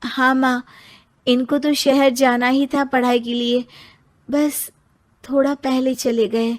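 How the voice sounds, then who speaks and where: A woman voicing a gentle, dutiful young village bride in a cartoon story, sweet and reassuring. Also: high voice, little pitch movement, slow pace.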